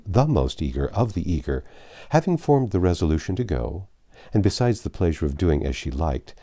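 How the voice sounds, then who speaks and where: A man narrating a classic novel aloud in a measured, formal storytelling manner. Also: deep voice, mellow, no echo, studio quality. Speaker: audiobook narrator. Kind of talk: literary reading of a classic novel.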